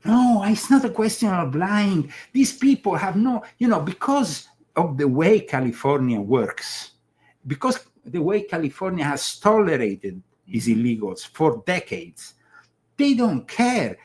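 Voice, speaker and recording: Halting Italian-accented male talk host. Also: a little high, sing-song, darkish tone. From Italy. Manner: emphatic, halting with many fillers and restarts. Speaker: show host. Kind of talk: political commentary talk show.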